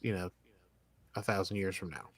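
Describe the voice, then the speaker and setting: A man's voice: low-pitched, moderate pace, dry recording. A man co-hosting a casual TV recap podcast, talking in a rambling, conversational way full of loose asides. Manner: rambling, conversational, hedging with like and whatever. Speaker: podcast co-host. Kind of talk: casual TV episode recap chat.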